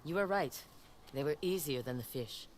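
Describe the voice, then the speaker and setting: A woman's voice: sits low, expressive, normal speed. A woman playing a determined young warrior student in a video game, speaking in a terse, dryly wry, resolute way. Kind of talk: video game character acting. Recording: clear noise of game ambience.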